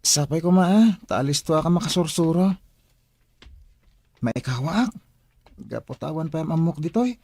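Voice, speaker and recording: An actor playing a young man newly arrived at a school for the blind in a radio drama, with a husky voice. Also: fairly high pitch, expressive, moderate pace, long pauses, dark tone, no room sound. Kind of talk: Ilocano radio drama acting.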